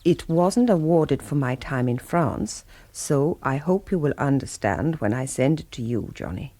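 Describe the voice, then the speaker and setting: An actor playing a courteous, wistful former German wartime agent, speaking in a measured, formal and reflective way. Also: male voice, on the high side, expressive, dark tone, no echo. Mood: wistful, respectful. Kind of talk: radio drama, letter read in voice-over.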